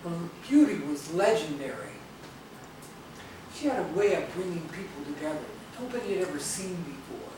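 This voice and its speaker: A man playing a folksy mentor in a stage play, talking in an unhurried storyteller's manner. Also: high-pitched, animated. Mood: reflective. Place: theatre stage, picked up from a distance. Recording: echoey, noisy stage videotape from far off.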